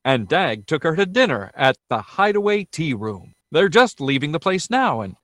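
A 1930s radio announcer selling to listeners. His voice is slightly high with animated intonation. The delivery is persuasive and scripted, in a very dry acoustic. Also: normal speed, no echo. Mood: inviting. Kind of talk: 1930s radio advertising and scene-setting narration.

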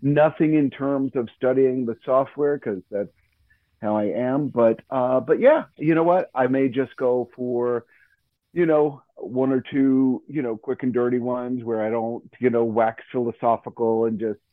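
A man's voice: mid-range voice, steady tone, a little slow, no room sound. A man chatting casually in a hesitant, rambling way, heard over a thin phone-like connection. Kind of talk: casual chat.

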